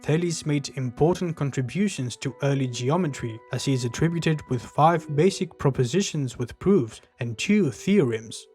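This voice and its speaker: A man giving scripted, measured narration for a history documentary. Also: a little high, animated, darkish tone, slightly rough. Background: faint background music.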